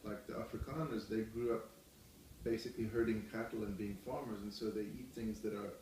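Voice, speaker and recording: A middle-aged man calmly explaining things to his child during a home interview, restarting phrases as he thinks. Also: lowish voice, brisk, slight background noise. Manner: calm, explanatory, gently correcting himself. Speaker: interviewee, parent. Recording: echoey room, mic far off.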